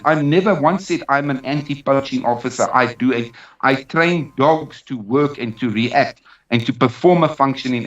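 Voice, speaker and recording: A man's voice: mid-range voice, fairly bright tone. Echoey, distant South African man. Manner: emphatic, repeats phrases for emphasis. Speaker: interview guest, dog trainer. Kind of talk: personal account in a live interview. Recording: roomy, distant, dull sound.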